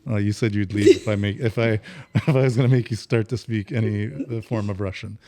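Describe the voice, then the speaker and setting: Gravelly low-voiced male podcast host. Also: steady tone, brisk, dark tone. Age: middle-aged. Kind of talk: casual podcast interview.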